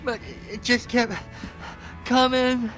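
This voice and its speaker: An actor playing a hapless, simple young sidekick in a video game, halting and slow-witted. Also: male voice, very high voice, flat delivery, fairly bright tone, faint music behind, exaggerated voice. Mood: dazed. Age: young adult. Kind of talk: video game cutscene acting.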